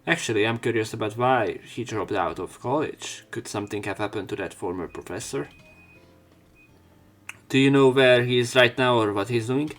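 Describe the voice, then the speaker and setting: A young man narrating a visual novel let's play, reading the story plainly and getting louder when voicing the hero. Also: mid-range voice, normal speed. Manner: reads narration plainly, jumps up louder for the hero's lines.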